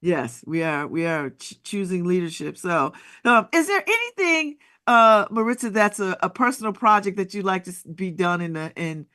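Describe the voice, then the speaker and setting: A woman's voice: medium pitch, normal speed, sharp tone. A woman hosting a casual interview, talking conversationally and often repeating the first words of her sentences as she feels her way forward. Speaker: talk show host, interviewer.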